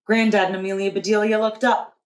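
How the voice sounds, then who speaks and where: A woman teacher reading a picture book aloud to young children with deliberate expression. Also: mid-range voice, little pitch movement. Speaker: preschool teacher. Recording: roomy echo.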